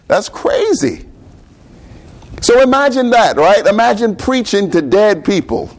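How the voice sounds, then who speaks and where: A man with a Bahamian accent preaches in a loose, talky way. He keeps asking his listeners questions and repeats words now and then. His voice sits a little high for a man. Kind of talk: sermon.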